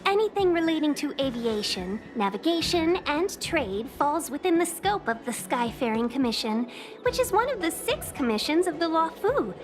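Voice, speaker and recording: High, lilting, courteous fox-girl envoy. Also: big pitch swings, normal speed. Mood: courteous, welcoming. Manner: courteous, polished, formal. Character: polite young fox woman, smooth-spoken trade envoy and guide. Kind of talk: video game voice acting. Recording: music behind the voice.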